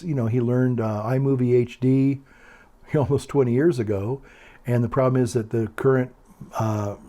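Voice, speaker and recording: An older man with a calm, quiet voice, chatting casually in a meandering, hesitant way and often repeating himself. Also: medium pitch. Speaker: video blogger. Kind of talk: casual rambling monologue.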